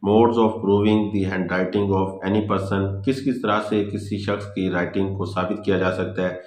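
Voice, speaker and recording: Pakistani guy teaching law, low voice, pretty flat delivery, throws in english terms, echoey room. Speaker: law lecturer. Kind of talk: instructional lecture mixing in English legal terms.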